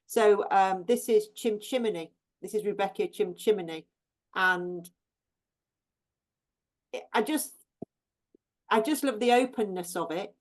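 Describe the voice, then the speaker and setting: A woman's voice: mid-range voice, slow pace, pauses often. A woman who gardens for a living talks about her plants over a video call. She is chatty and easygoing, and her sentences break off and restart around little ums. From England. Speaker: professional gardener, guest.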